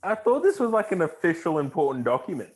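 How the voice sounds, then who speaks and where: A man's voice: fairly high pitch, sing-song, quick pace, darkish tone, slightly rough. A man co-hosting a casual podcast, reacting in short, blokey, sweary remarks. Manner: blokey, profane, brief interjections. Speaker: podcast co-host.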